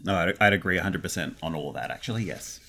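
A man's voice: sits low, lively intonation, quick pace, gravelly, sharp tone. A man talking casually as a guest in an interview. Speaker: podcast guest, audio drama co-creator.

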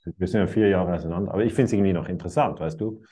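A man's voice: low voice, racing, mellow. A man in his fifties talking reflectively in conversation, musing aloud and chuckling now and then. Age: 50s.

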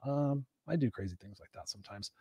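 A man's voice: medium pitch, fairly quick, mellow, breathy edge, dry recording, muffled recording. A man hosting a live online talk show, rambling and upbeat with many fillers. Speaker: host.

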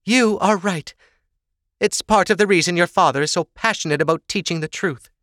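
A man's voice: high-pitched, moderate pace, fairly bright tone, no echo, studio quality, pitched above the performer's own voice. A man voicing a worried, loving noble matriarch with a coaxing, motherly manner, in an audiobook story. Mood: tender.

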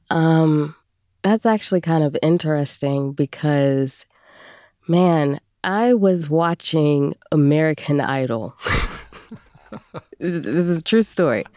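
A woman's voice: deep voice, animated, slow, warm tone, no room sound, studio quality. A woman speaking casually as an interview guest, a voice performer telling her story.

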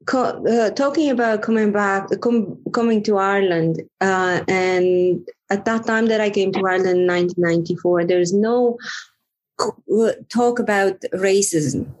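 A middle-aged woman with a Romanian accent speaks as a podcast guest. She is earnest and thoughtful, often pausing and restarting as she picks her words. Her pitch sits in the middle range. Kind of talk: reflective conversation.

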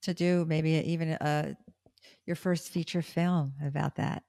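A woman's voice: sits low, fairly even intonation, unhurried, warm tone. Effusive woman interview host. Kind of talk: casual interview talk.

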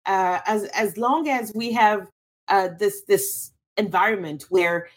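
A woman's voice: mid-range voice, slow. A woman from Cameroon, a guest in an interview, answering thoughtfully with frequent restarts and fillers.

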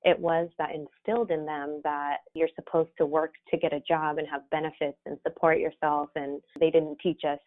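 A woman's voice: sits low, little pitch movement, moderate pace, few pauses. A woman co-hosting a podcast in a casual chat, talking easily and laughing often. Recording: tinny phone-like line.

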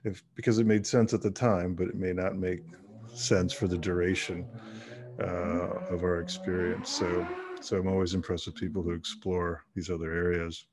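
A man hosting an interview podcast, reading sponsor messages and then chatting in a measured way with some hesitant restarts. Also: low-pitched, little pitch movement, noisy background. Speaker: podcast host.

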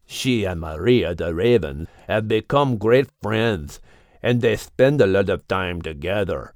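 Henpecked bull, Spanish accent, deep, grumbling, unhurried, polite, close dry sound, animal storybook. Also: male voice, expressive, no room sound. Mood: polite, chatty. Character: restless, henpecked but polite male bull.

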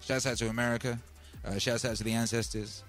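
A man from Wales, chatting casually as a stream host, rambling and upbeat with lots of fillers and shout-outs. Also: medium pitch, little pitch movement, quick pace, faint music behind. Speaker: livestream host. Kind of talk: casual chat.

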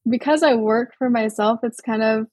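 A young adult woman from Hawaii, a guest artist speaking in a relaxed, thoughtful way with many pauses and restarts. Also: a little high, breathy edge, no room sound, studio quality. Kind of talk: casual interview over a call.

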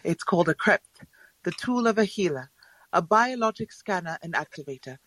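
Calm, precise alien healer woman. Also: lowish voice, normal speed, no echo, low-quality recording. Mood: calmly clinical. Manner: clinical, formal, instructive. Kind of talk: audio drama acting.

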